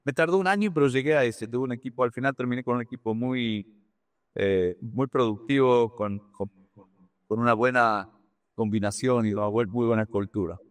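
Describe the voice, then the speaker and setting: A man talks in an interview as an experienced executive. He has a mid-range voice and speaks haltingly, repeating words and slipping English terms into his Spanish. The sound is dry, with little room echo. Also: mid-range voice. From Argentina. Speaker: business executive being interviewed. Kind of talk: conversational interview answers with anecdotes.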